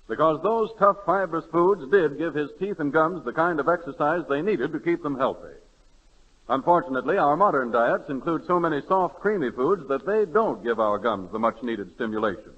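A man's voice: a little high, expressive, moderate pace, husky. An American man reading an advertisement as a 1930s radio announcer. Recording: dull 1930s radio recording.

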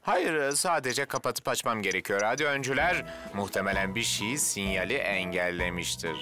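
A man's voice: lowish voice, normal speed, over music, lightly acted. A man voicing a cocky, competitive young adventurer in a cartoon, breezy and self-assured.

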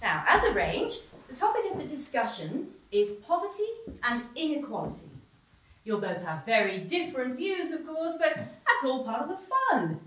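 A woman's voice: high-pitched, big pitch swings, moderate pace, a bit bright. An actress playing a polished female TV news presenter in a stage play, heard from a far mic in a small stone-walled theater. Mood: bright. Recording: roomy, distant stage sound.

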